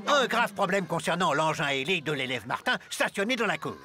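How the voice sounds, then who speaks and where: Fussy, officious school supervisor, swooping pitch. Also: male voice, sing-song, brisk, sharp tone. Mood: hesitant, officious. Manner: officious, pedantic, formal. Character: fussy, rule-obsessed school supervisor, easily scandalised. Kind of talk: animated cartoon dialogue.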